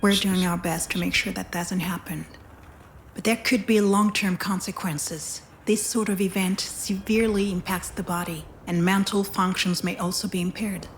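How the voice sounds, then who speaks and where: An actress playing a frank hospital doctor in a video game, measured and clinical in delivery. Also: female voice, lowish voice, a little hiss or hum, lightly acted. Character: composed, frank female hospital doctor breaking bad news. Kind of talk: naturalistic video game acting.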